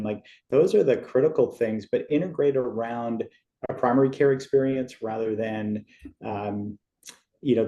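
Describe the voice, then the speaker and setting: A middle-aged man from Western Massachusetts, a podcast guest who explains at a measured pace with frequent restarts. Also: fairly low pitch, mellow, reverberant, muffled recording. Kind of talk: podcast interview.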